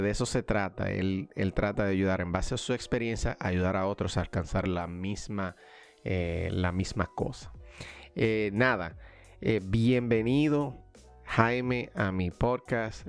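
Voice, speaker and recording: A man's voice: lowish voice, clean tone. A man hosting a motivational podcast, talking in an encouraging, conversational way. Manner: encouraging, motivational, frequent restarts. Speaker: podcast host. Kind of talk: casual conversational interview.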